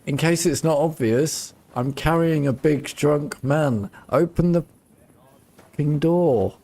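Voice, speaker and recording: A man's voice: fairly high pitch, slow, mellow. A man giving casual playthrough commentary as a game streamer, muttering and grumbling at the game.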